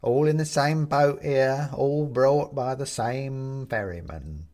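A man's voice: mid-range voice, darkish tone, lightly acted. A man voicing a sly storybook skeleton that feigns soothing friendliness, drawing out its words and relishing them. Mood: falsely soothing. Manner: drawn-out, coaxing, relishing words.

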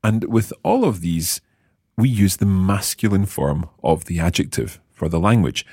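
A man's voice: low voice, breathy edge, dry recording, studio quality. A man hosting a language lesson, explaining phrases patiently and quizzing his co-hosts. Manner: patient, lively, repeats phrases slowly. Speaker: language teacher and host.

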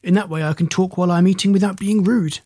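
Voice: medium pitch, fairly even intonation, quick pace, warm tone, slightly rough, no room sound, natural voice. A narrator voicing a shy, courteous two-headed gazelle-like creature who dislikes being stared at. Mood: polite. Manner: timid, courteous.

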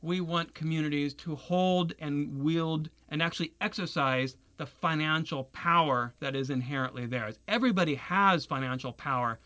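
A man interviewed as a guest on a radio show, explaining his work in an earnest, measured way with small restarts. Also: on the high side, pushed and bright. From Taiwan. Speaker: guest, securities lawyer.

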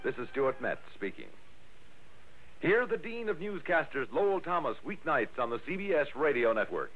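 A man's voice: fairly high pitch, big pitch swings, moderate pace. An American man announcing in scripted 1960s network radio style. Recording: tinny old radio broadcast with music.

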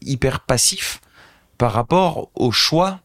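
A French man in his thirties, a social entrepreneur telling an animated anecdote as an interview guest. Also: medium pitch, slow pace, vocal fry. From France. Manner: animated anecdotal storyteller, addresses host directly. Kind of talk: casual storytelling interview.

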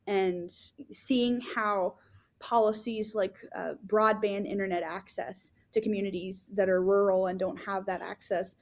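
A woman co-hosting a podcast, telling her own story in an earnest, casual way, often pausing with ums and restarting her sentences. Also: medium pitch, fairly even intonation. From Florida.

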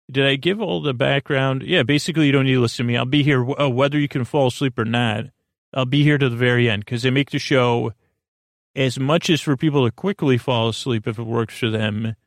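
Gravelly, rambling male podcast host. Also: mid-range voice, no echo, clean studio sound. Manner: meandering, halting, loses his train of thought. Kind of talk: rambling bedtime podcast monologue.

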